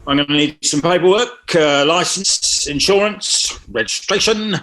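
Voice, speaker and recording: A man's voice: a little high, a little slow, fairly bright tone, low-quality recording. A man acting a self-important, stumbling police officer in a comic script read. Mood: stern, bumbling. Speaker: actor in a script table read. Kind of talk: comedic screenplay table read.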